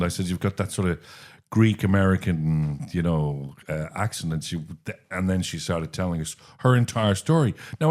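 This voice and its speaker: Irish man, podcast co-host, lowish voice, animated storyteller, casual chat.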